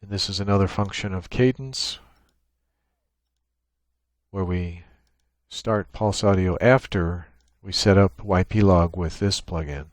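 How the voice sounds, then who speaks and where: A man giving an unscripted software tutorial, explaining each step in a matter-of-fact, technical way. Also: low-pitched, measured pace, no echo, clean studio sound. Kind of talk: unscripted screen-share walkthrough. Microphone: USB microphone with gate and compressor.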